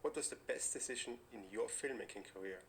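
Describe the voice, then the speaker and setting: A man's voice: medium pitch, quick pace, a little hiss or hum. A man from Austria calling in with a direct, formal question for the host. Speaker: caller. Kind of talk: recorded video question.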